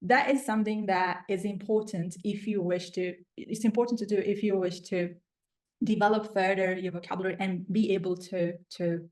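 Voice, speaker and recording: A woman coach with an Italian accent talks in a conversational way to a student. Her pitch sits in the middle of the female range, and she is affirming and encouraging as she hosts. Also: steady tone, moderate pace, a little mellow. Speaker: language coach hosting a student interview.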